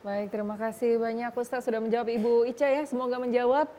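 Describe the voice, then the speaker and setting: An Indonesian woman hosts a religious television program. Her voice is high and her speech is quick. She keeps the talk moving with courteous questions and brief affirmations. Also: little pitch movement, dark tone, a little hiss or hum. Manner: polite and affirming, interjecting with short responses. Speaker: TV show host. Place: television studio.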